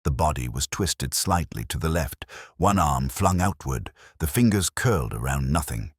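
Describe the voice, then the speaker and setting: A man voicing a weary middle-aged detective, narrating in a measured, melancholy, literary way. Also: a bass voice, expressive, husky, dry recording, clean studio sound, exaggerated voice. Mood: brooding. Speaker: first-person narrator. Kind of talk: scripted audio drama narration.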